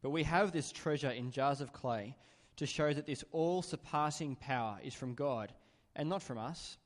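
A man reading a Bible passage aloud in a church, steady and even-paced. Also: mid-range voice, normal speed. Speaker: scripture reader. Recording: long hall reverb in church.

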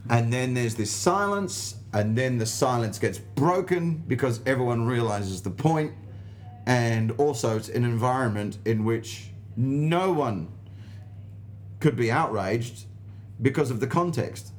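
A man's voice: medium pitch, animated, measured pace, soft background music. An Australian man, a stand-up comedian being interviewed, telling anecdotes casually in an emphatic, sweary way and acting out the dialogue. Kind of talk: animated anecdote in casual conversation.

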